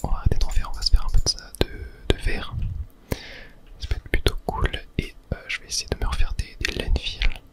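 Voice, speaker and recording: A man from whispers casual ASMR gaming commentary in a breathy, unvoiced voice, murmuring his thoughts aloud. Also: fairly quick, a bit bright. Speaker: ASMR gaming video host.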